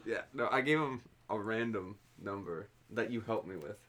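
Low-voiced man chatting casually. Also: normal speed, a bit bright. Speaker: podcast co-host. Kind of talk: casual chat.

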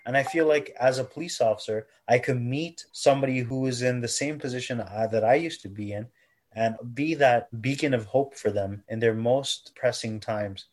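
A man's voice: medium pitch, a little mellow. Earnest young Canadian man. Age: 20s. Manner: earnest, measured, self-correcting. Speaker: interviewee. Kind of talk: reflective personal testimony.

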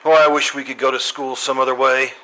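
A man's voice: medium pitch, level tone, brisk, pushed and bright, close to an everyday voice, rough recording. A man playing a grumpy, exasperated middle-aged father, pompous and gloomy, in an old-time radio comedy. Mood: gloomy grumbling.

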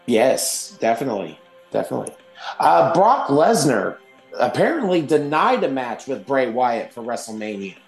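A man co-hosting a casual wrestling podcast, hoarse from losing his voice, laid-back and swearing casually. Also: medium pitch, very animated, normal speed, roomy sound, faint music behind, low-quality recording. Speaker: podcast co-host. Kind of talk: casual wrestling news chat.

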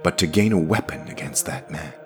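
A man's voice: a bass voice, lively intonation, a little fast, music in the background. A man voicing a vengeful, determined warrior woman with a firm, defiant, intense manner. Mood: firm demand.

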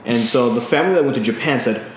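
A man's voice: medium pitch, rapid-fire. Man chatting in a very echoey room. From California. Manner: rambling retelling, lots of "um" and restarts. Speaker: podcast co-host. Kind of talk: casual chat. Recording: very echoey room with faint noise.

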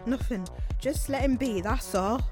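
A woman's voice: a little high, measured pace, over music, natural voice. An actress playing a frightened, submissive mother, pleading and apologetic, in an audio drama.

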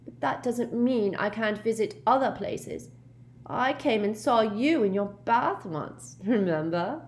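A woman narrator plays a mopey ghost of a teenage girl from a storybook. Her voice is raised high and drags slowly, sulky and plaintive, as if forever wounded. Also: animated. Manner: plaintive, sulky, drawn-out delivery.

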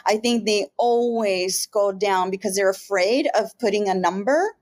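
A woman's voice: medium pitch, measured pace, darkish tone. A woman hosting an interview, encouraging her guest with constant affirming yeahs and rights. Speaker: interview host. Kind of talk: conversational interview.

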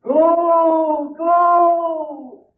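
Slow, high-wailing menacing ghost. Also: male voice, fairly even intonation, distant mic, heavily acted. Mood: threatening. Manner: slow, theatrical, drawn-out ominous delivery. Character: menacing male ghost of a dead actor. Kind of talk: 1940s radio horror acting. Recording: muffled, hissy old radio broadcast.

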